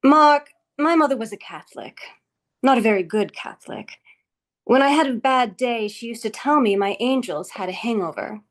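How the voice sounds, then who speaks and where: A woman playing a composed, condescending female office boss in a script table read, speaking in a measured, dry way. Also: fairly high pitch, expressive, natural voice. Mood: wry, consoling.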